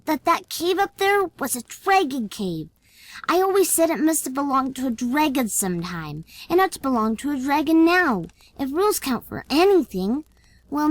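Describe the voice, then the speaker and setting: A woman playing a calm, self-assured young shepherd's boy in an amateur audiobook drama, explaining things with matter-of-fact confidence. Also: high voice, dry recording, low-quality recording.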